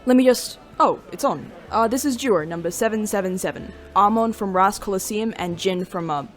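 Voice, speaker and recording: A scatterbrained young demon reporter acted by a woman, mid-pitched and casual. The delivery is easygoing and hesitant, an audio drama scene with music behind it. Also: moderate pace, natural voice. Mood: casual, distracted.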